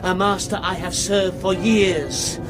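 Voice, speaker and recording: Vengeful devil-worshipper for horror radio: high-pitched, slow, ceremonial menace, under loud music. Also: male voice, steady tone, low-quality recording. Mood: cold menace. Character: vengeful male occultist serving the devil. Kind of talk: British radio horror drama acting.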